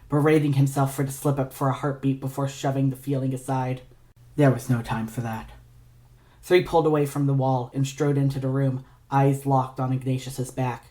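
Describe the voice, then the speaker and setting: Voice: mid-range voice, dark tone. A narrator reading scripted fiction aloud as an audio story.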